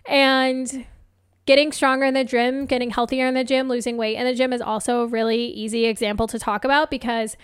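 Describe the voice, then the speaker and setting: A woman hosting a solo motivational podcast as a coach, chatting casually and encouragingly, her voice slightly hoarse. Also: a little high, level tone, fairly quick, dry recording, studio quality. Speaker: podcast host and life coach.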